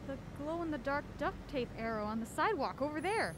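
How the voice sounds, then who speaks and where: A woman's voice: high voice, expressive, a little fast, background noise. A woman playing a wry, wary amateur sleuth in a naturalistic audio drama, with a dry, questioning manner. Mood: curious, urgent. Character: wry, wary young woman on a mystery hunt.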